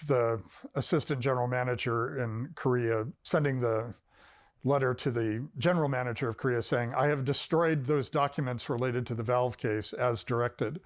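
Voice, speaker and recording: A man explaining events in a documentary interview, with wry asides. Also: medium pitch.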